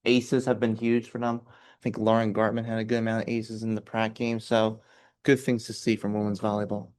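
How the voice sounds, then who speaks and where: Male co-host, low and even-toned, casual and conversational. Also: brisk. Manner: casual, starting sentences with "I mean". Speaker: podcast co-host. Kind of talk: casual sports chat.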